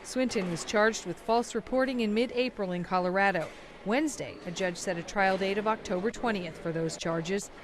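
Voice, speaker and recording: A woman's voice: mid-range voice, moderate pace, a little hiss or hum. A woman news reporter delivering a scripted voice-over.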